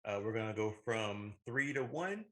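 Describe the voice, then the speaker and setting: A man's voice: on the low side, lively intonation, normal speed, fairly bright tone. A man in his thirties co-hosting a casual show, talking informally and often circling back to repeat himself. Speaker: co-host of a movie and video game show. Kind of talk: casual conversational banter.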